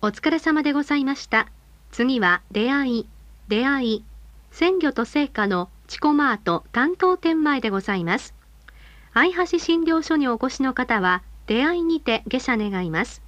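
A woman with a high voice delivers scripted announcements for bus passengers. Her delivery is formal, polite and carefully measured. The sound is dry, with no room echo around her. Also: fairly bright tone.

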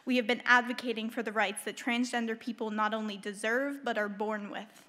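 A woman's voice: on the high side, fairly even intonation, fairly quick, pushed and bright. A young woman delivering a prepared speech into a podium microphone in an auditorium. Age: teens or young adult. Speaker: student public speaker at a school board meeting. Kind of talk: prepared speech read aloud. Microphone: gooseneck podium microphone.

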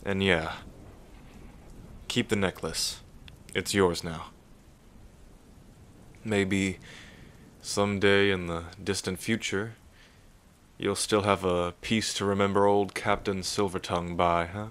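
A man playing a gentle, bashful pirate captain in a soothing, hesitant, soft-spoken ASMR roleplay. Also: low-pitched, steady tone, takes it slowly, long pauses, smooth. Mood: bashful, warm.

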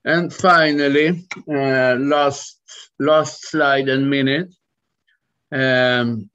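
Slow, measured man lecturing over slides. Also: mid-range voice, fairly even intonation, fairly bright tone, muffled recording. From Sweden. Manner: measured, deliberate reading with occasional restarts. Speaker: academic lecturer.

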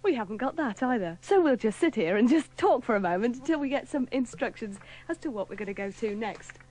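A woman's voice: fairly high pitch, lively intonation, brisk, darkish tone, poor audio. A woman presenting live on the radio.

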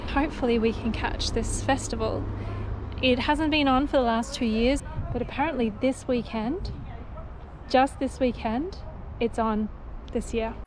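A woman's voice: on the high side, a little slow, pauses often. A middle-aged woman travel vlogger talking casually to the camera about her trip. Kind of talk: casual vlog talk to camera and narration. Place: hotel rooms and outdoor train platform. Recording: noisy on-location sound, music under narration.

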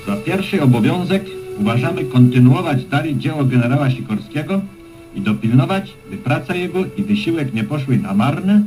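A man of medium pitch delivers a formal political speech. Music plays clearly behind his voice. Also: animated, normal speed, rough recording.

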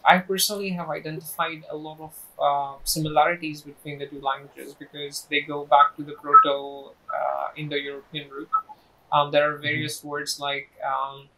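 A young man hosting a casual podcast interview from a small office on a lapel mic, asking friendly, measured questions with some fillers. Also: a little high, level tone, bright, forceful tone, poor audio. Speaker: podcast host.

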